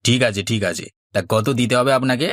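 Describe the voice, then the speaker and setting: A man's voice: mid-range voice, steady tone, brisk, a bit bright, no echo, studio quality, lightly acted. A man voicing an impatient, grumbling barbershop customer in a cartoon, curt in manner. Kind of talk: Bengali cartoon dialogue.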